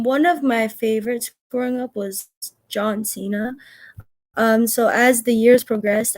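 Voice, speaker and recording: Young woman wrestler from Canada, slightly high voice, chatty interview guest talk. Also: a little mellow, dry recording, poor audio. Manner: chatty, many "like" and "um" fillers. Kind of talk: casual interview answers.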